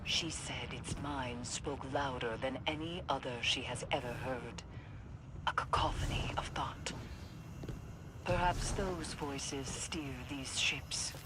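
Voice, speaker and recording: An actress playing a brooding scholar of dark mysteries in a video game, speaking in a solemn, deliberate, cryptic manner. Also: female voice, extremely low pitch, heavy background noise. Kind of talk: dramatic game voice acting.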